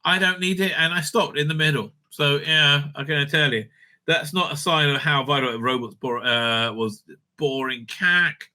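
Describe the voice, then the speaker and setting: A man's voice: a little high, normal speed, bright, forceful tone. A man hosting a casual, off-the-cuff review commentary, blunt, opinionated and sweary, rambling and repeating words as he goes. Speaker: host reviewing audio drama releases.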